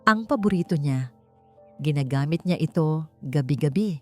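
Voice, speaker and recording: A Filipino-accented woman narrates a dramatic audiobook story. Her voice sits somewhat low, and soft music plays behind her scripted delivery. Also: lively intonation, normal speed.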